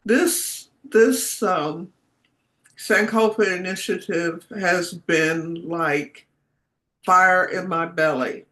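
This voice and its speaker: Host on a video call, woman, unhurried and bright, fond and chatty. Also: on the low side. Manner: affectionate, rambling. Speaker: meeting host. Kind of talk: casual video call greetings.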